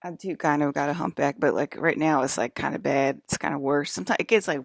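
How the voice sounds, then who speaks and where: Gravelly fifty-something woman ranting. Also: sits low, quick pace, no echo, studio quality. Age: 50s. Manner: confrontational, profane, rambling with many fillers. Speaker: video blogger. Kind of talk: rambling monologue to camera.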